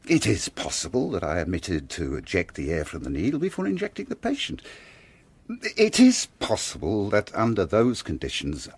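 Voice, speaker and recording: A bitter male doctor in a radio drama, with a lowish, husky voice. He speaks formally and insistently, in measured phrases that swing widely in pitch with anguish. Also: no echo, exaggerated voice.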